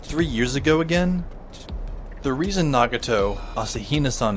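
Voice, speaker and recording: A man plays a teenage boy narrating an audio drama in the first person. He is wry and reflective, at a middling pitch for a male voice. Music runs clearly behind him. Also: close to an everyday voice. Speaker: narrator and lead character. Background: music under the voice.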